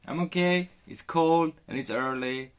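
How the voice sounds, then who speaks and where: A man from Sweden with a Swedish accent, chatting casually with viewers on a live stream from a cold sailboat, in a halting way with restarts. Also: on the high side, clean tone.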